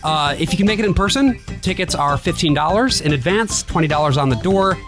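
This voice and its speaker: A man with a fairly high, very animated voice co-hosts a comedy podcast. He banters casually, wry and profane, slipping into mock solemnity. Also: a little fast, music in the background. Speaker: podcast co-host.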